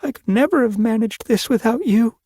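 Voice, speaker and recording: A man voicing a weak, grieving young female cat in an audiobook, speaking softly and breathily. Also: very high-pitched, animated, normal speed, husky, dry recording, clean studio sound, voice pushed up, full character voice. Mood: tender, pleading. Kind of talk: audiobook character voice.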